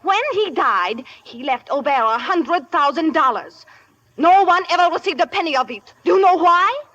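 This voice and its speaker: A woman's voice: very high voice, lively intonation, normal speed, a bit bright, muffled recording. A woman playing a desperate, possessive heiress in a vintage radio mystery comedy, with theatrical, formal delivery. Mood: intense, possessive. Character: melodramatic, desperate heiress, fearful and fiercely possessive. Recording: noisy old radio broadcast.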